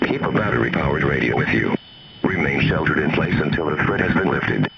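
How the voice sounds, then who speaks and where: Heavy noise sits around a muffled broadcast of a male alert announcer. His voice is very deep and gravelly. He reads the emergency script in a formal, measured way. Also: expressive. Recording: dull radio broadcast under loud noise.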